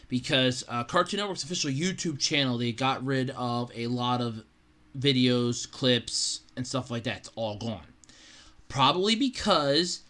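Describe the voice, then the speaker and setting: A man's voice: medium pitch, pushed and bright. A man hosting a podcast, giving casual, blunt solo commentary in a conversational way and slipping into imagined dialogue. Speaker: podcast host.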